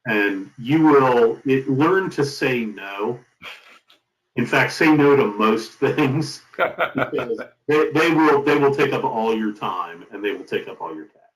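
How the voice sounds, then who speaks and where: A middle-aged man being interviewed as a company founder, giving advice in an emphatic, deliberate conversational way. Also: mid-range voice, lively intonation. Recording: roomy, echoing sound.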